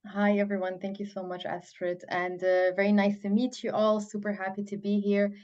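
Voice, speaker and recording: A woman's voice: medium pitch, monotone, moderate pace, a little mellow. A woman from Iran who grew up in Belgium, speaking as a panelist from her home office on a video call and introducing herself eagerly. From Iran, raised in Belgium. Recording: dull lo-fi call audio.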